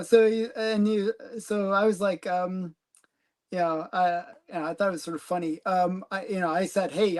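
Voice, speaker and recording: A woman's voice: medium pitch, darkish tone. A woman hosting a casual comic collecting livestream, chatty and rambling, giggling often. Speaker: host of a comic collecting channel.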